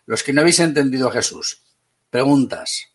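A man from Spain with a Spanish accent teaching an online class, brisk and teasing, calling on students by name. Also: medium pitch, very animated, breathy edge.

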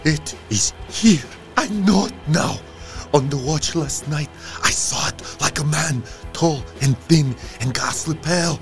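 Gravelly, half-mad ship's mate. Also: male voice, fairly high pitch, a little slow, faint music behind. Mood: haunted, frantic. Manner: feverish, intense. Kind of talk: audio drama acting.

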